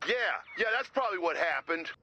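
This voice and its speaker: An actor playing an excitable, defensive lead guitarist in a video game, with a raspy, whiny voice over radio. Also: male voice, a little high, a little fast, muffled recording, a little hiss or hum. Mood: sulky. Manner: dramatic, whiny, indignant.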